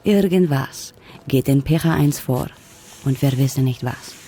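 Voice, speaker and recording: An actress playing a composed, businesslike government official in a radio drama, measured, discreet and matter-of-fact. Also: female voice, a bass voice, dark tone, breathy edge, heavily acted. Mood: concerned, matter-of-fact.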